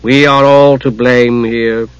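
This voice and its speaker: A man voicing a tall, grim, solemn male god with a grave, measured manner in an audiobook. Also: medium pitch, flat delivery, clear, resonant tone, no room sound, lo-fi sound, lightly acted.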